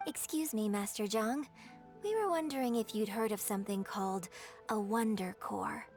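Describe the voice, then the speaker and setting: An actress playing a courteous young opera performer in a video game, speaking in a polite, refined, formal way. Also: female voice, mid-range voice, moderate pace, a little mellow, faint music behind, lightly acted.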